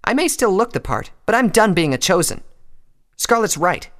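A man's voice: sits high, big pitch swings, normal speed, fairly bright tone, voice pushed up. A man voicing a brash, taunting female pegasus pony with a harsh, scornful, emphatic delivery in a fantasy story. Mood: defiant, sneering.

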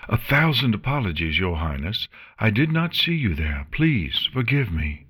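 A man's voice: on the low side, very animated, a bit bright, breathy edge, voice pushed up, exaggerated voice. A man raising his voice for an anxious pony nurse. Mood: flustered. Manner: formal, anxious, quick to apologize. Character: nervous, polite female pony nurse.

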